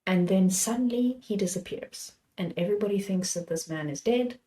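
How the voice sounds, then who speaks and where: Young woman, slightly hoarse, warm mellow tone, chatty rambling book talk. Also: mid-range voice, normal speed, poor audio. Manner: rambling, chatty, self-correcting. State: slightly hoarse, a little sick. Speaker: book channel host. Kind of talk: casual chat about books.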